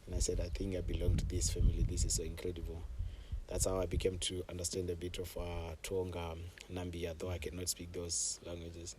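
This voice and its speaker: A young man from Zimbabwe, a safari camp host, telling his story in a relaxed way with frequent fillers, interviewed outdoors on a camp deck. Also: low-pitched, a little fast, noisy background. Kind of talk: conversational interview.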